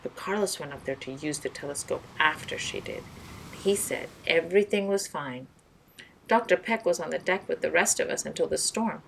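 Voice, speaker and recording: A woman's voice: low voice, normal speed, creaky, a bit bright, recorded from a distance, a little hiss or hum, rough recording. Woman reading as an earnest young girl detective. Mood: reasoning. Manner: earnest, reasoning aloud. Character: thoughtful, determined girl detective.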